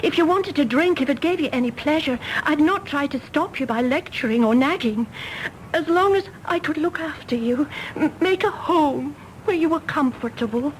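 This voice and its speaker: A woman's voice: high-pitched, animated, normal speed, a little hiss or hum, rough recording. An actress playing a solemn, lonely governess in her thirties in a radio drama, earnest and intense. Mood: nervous, pleading. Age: 30s. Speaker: actor. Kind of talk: British radio drama acting.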